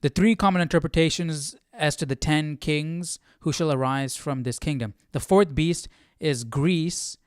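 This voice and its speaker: A man's voice: fairly high pitch, dry recording, studio quality. A man hosting a livestream Bible study, talking informally and thinking aloud. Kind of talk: casual livestream chat and study commentary.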